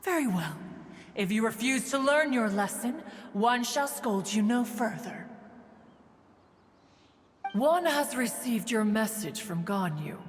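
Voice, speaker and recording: A woman's voice: on the high side, animated, slow pace, long pauses, long reverb tail, faint music behind. A woman voicing a proud immortal bird spirit in a video game, with an imperious, formal and archaic manner. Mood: indignant, haughty. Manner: imperious, formal, archaic, calls herself "one". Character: proud, haughty immortal bird spirit, female master of disciples.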